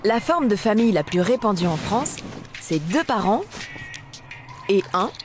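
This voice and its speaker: A woman's voice: medium pitch, very animated, a little slow, music in the background. A woman voicing a friendly, patient female dinosaur who explains things cheerily in a children's educational cartoon. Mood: patient, explaining.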